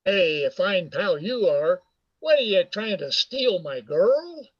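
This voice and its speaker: A man's voice: sits high, big pitch swings, slow pace, full character voice. A man acting a brash, teasing, jovial businessman in an amateur radio play, heard over a video call. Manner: hearty, teasing, boastful. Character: brash, jovial male businessman, George's old pal. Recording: muffled video call audio.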